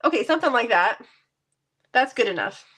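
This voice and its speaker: A woman's voice: on the high side, lively intonation, brisk, a bit bright. Stuffy-nosed woman chatting through a craft demo. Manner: chatty, laughs often, self-deprecating. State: stuffy from a cold. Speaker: card-making crafter. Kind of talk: casual crafting tutorial. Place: home basement craft room.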